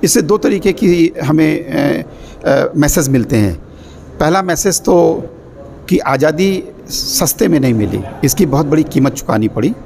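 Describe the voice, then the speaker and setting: A middle-aged man, a university official, giving an earnest, measured statement to reporters, pausing often to choose his words. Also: a little high, expressive, gravelly, a little mellow, a little hiss or hum. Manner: earnest, measured speech with many pauses and fillers. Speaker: university official addressing the press. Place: indoor exhibition corridor with people around. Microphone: cluster of handheld press mics. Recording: crowd chatter behind.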